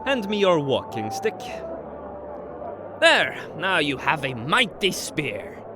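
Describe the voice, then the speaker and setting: Man as sly trickster god, wry, very swooping intonation, slow, audio drama. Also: on the high side, very animated, music in the background. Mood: wry, teasing.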